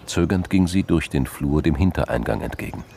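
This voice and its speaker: Very deep, gravelly male narrator. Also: animated, brisk, warm tone, slight background noise. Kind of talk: scripted crime drama narration.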